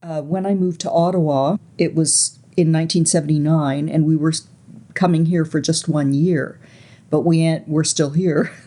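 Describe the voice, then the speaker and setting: A woman in her sixties, raised in Canada, recalling her life story slowly and reflectively as an interview guest, often pausing to search for words. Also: deep voice. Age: 60s. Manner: soft, unhurried, searching for words.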